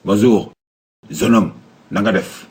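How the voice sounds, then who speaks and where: A man's voice: on the low side, takes it slowly. An actor playing a stern, curt policeman in a comedy cartoon, with a Senegalese accent. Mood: official, questioning. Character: stern, no-nonsense policeman questioning and ordering people around.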